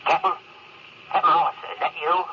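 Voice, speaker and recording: A man's voice: fairly high pitch, steady tone, fairly quick. An actor playing a respectful, folksy crewman adrift in space in a 1950s radio drama, heard as if over a radio receiver on a muffled, noisy old recording. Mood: anxious, relieved. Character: deferential, plain-spoken crewman adrift in space.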